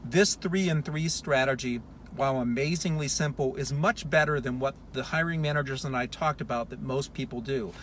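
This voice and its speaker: A man giving explanatory advice as a hiring consultant, speaking deliberately and emphatically with pauses on key points. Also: mid-range voice, slight background noise. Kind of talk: advice talk to camera, explanatory.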